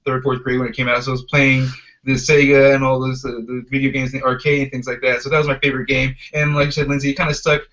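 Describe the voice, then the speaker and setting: A man in his thirties with a mid-pitched voice hosts a casual podcast chat. He rambles along conversationally and swears freely. The audio is muffled and lo-fi. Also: level tone, fast talker, fairly bright tone. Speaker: podcast host. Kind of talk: casual chat about video game movies.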